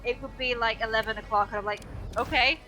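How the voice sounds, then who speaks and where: A woman co-hosting a charity game stream, chatting in an animated way and acting out quoted lines. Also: quick pace, music in the background, rough recording. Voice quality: higher-pitched than she expects herself to sound. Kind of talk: casual chat while playing a game.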